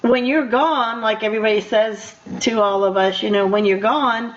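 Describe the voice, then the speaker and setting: Older female radio host, fairly high voice, chatty and encouraging, circles back over phrases, dull lo-fi audio. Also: fairly even intonation, normal speed. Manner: encouraging, repeats herself. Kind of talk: conversational interview. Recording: muffled radio interview sound.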